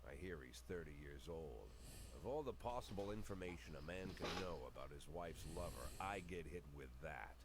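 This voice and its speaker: A low-pitched, world-weary older police chief in a noir video game monologue. He is dry, brooding and bitter, with clear noise behind the recording. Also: animated, normal speed. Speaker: video game narrator and lead character.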